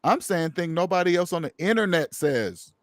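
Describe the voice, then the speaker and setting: A man's voice: high voice, lively intonation, normal speed, no room sound. A man hosting a casual conversation, speaking emphatically and preachily, repeating phrases for stress. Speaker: podcast host.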